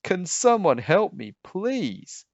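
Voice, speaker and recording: Storybook lion man acting: poorly, plaintive roarer, lively pitch swings, slightly muffled sound. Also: takes it slowly, vocal fry, no echo, full character voice. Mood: poorly, pleading. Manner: roaring, loud and plaintive. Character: sneezy, chilly male lion, poorly but grateful. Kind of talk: children's storybook read-aloud.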